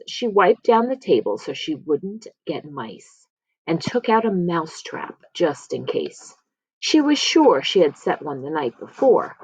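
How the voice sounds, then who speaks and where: A woman storyteller reads a children's picture book in a highly animated voice that rises and falls widely. She sits a little low in pitch and leans on words with dramatic pauses. The recording is dry and slightly dull. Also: slightly rough, dry recording. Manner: expressive, dramatic pauses and emphasis. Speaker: read-aloud storyteller.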